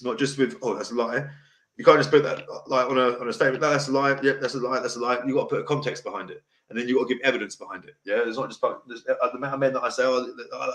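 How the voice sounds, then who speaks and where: Middle-aged man, deep voice, blunt rambling host, echoey room at home. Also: fairly bright tone. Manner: rambling, blunt and emotional, restarts often. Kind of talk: casual livestream talk.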